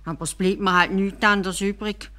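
A woman's voice: medium pitch, fairly even intonation, fairly quick, sharp tone, close to an everyday voice. A woman voicing a caliph from a fairy tale, reluctant and exclaiming, in Swiss German. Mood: reluctant, resigned. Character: reluctant, grumbling caliph turned stork.

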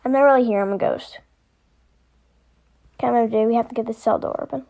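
A woman with a fairly high voice does amateur voice-over for a homemade animation. She reads character lines as acted dialogue. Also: steady tone, brisk, vocal fry, dark tone.

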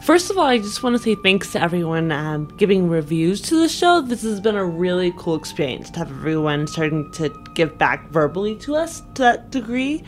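A woman speaks casually as a podcast co-host. Her voice is a little on the low side, and music plays softly behind it. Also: very animated, a little fast, hardly any pauses. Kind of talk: casual chat.

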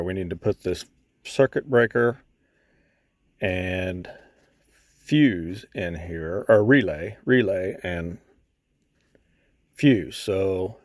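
A man's voice: on the low side, dry recording. A middle-aged man casually narrating a repair job on a pickup truck, talking as he works with his hands. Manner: relaxed, talks while working with his hands. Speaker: mechanic showing a repair. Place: inside a pickup truck and at its engine bay outdoors.

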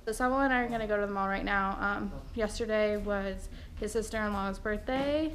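A woman's voice: medium pitch, brisk, a little hiss or hum. Chatty young woman vlogging at home. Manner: chatty, many ums, excited. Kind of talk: casual vlog chat. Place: small home room with fan, indoors. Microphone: action camera held at arm's length.

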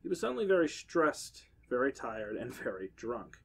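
A man's voice: medium pitch, big pitch swings, normal speed, vocal fry, slight background noise. A man on a podcast reading part of a horror story aloud, with occasional quips. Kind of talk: casual reading with banter.

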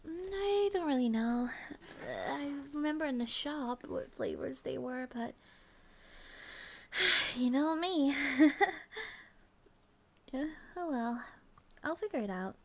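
Sleepy, affectionate student girlfriend for ASMR roleplay, played by a woman: high, soft, hesitant, unhurried delivery. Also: animated, full character voice. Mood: soft, chatty. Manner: soft, drowsy, hesitant, giggly.

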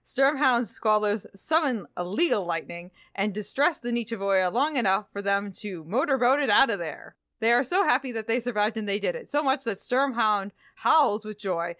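Woman doing a book podcast, playful and chatty, mid pitch, no echo at all. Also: expressive, normal speed, few pauses, a bit bright, studio quality. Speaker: podcast co-host. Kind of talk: casual, playful book discussion.

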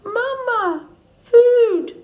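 A woman's voice: very high voice, animated, very slow, reverberant, highs cut off, pitched above the performer's own voice, full character voice. A woman voicing a tiny, needy baby gosling in an audiobook story, peeping out single pleading words.

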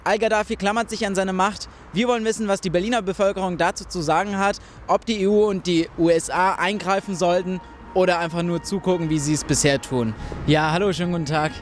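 A man's voice: sits high, fairly even intonation, quick pace, soft background music. A young man with a German accent, a show host asking passers-by questions on a city street with a handheld mic. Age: young adult. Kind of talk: street vox-pop interviewing. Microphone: handheld mic with large foam cover.

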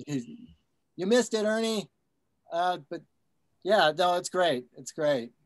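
Higher-voiced man, dry sound, self-effacing. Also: expressive, slow, dry recording. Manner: self-effacing, joking, appreciative. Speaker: poet who has just given a reading. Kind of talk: casual chat after a poetry reading.

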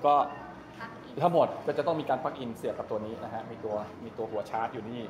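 A middle-aged man talks in a chatty, rambling, explanatory way, like a vlogger showing people around. His voice sits at a medium pitch. It is recorded at arm's length in a big echoey space with clear ambient noise. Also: fairly quick. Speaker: vlogger giving a tour. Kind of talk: casual walk-through explanation to camera. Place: large open-sided go-kart pit hall. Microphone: 360 camera at arm's length. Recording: roomy echo, loud ambient noise.

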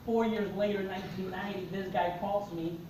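A man's voice: sits high, fairly quick, noisy background. A middle-aged man from Mexico with a Mexican accent, telling his life story to an audience and acting out the dialogue. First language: Spanish. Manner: animated storyteller, acts out dialogue. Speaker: motivational speaker. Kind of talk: personal story talk to an audience. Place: conference room with seated audience. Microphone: far camera in the audience. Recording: echoey room, recorded from far off.

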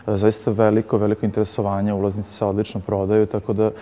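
Male, thirties, lowish and mellow, halting interview delivery with very little inflection. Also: monotone. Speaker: festival director. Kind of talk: interview answers. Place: indoor festival hall. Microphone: lapel.

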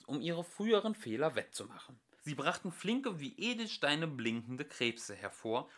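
A young man reading a comic science fiction novel aloud as an amateur home audiobook, with a dry, expressive storytelling manner. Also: mid-range voice. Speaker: audiobook reader. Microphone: home recording.

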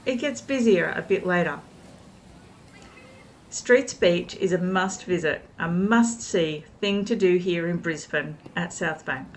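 A middle-aged woman narrating a travel vlog voice-over, calm and informative, giving tips and recommendations. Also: on the low side, slight background noise. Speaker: travel vlogger. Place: outdoors at a riverside city park.

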